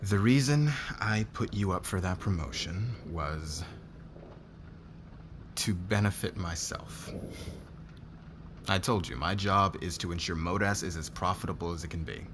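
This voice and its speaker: A man's voice: low-pitched, measured pace, pauses often, background noise. A man playing a demanding, possessive boss in an ASMR roleplay, flirtatious yet guarded, with a commanding, intimate manner. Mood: guarded, earnest. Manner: commanding, smug, intimate, swearing.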